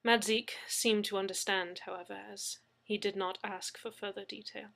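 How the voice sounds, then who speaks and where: A woman reading a serialized story aloud in a measured way. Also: mid-range voice, fairly even intonation, creaky, a bit bright. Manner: measured narration. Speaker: reader.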